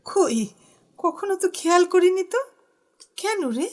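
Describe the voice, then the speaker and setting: An actress playing a doting, chatty elder sister in an audio drama, affectionate and fussing. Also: female voice, extremely high pitch, lively intonation, slow, darkish tone, heavily acted. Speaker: supporting character.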